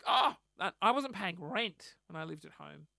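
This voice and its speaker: Hoarse Australian podcast host, bright and animated, self-mocking rambler. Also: male voice, high voice, normal speed, no room sound, studio quality. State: hoarse, losing his voice. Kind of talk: casual solo storytelling.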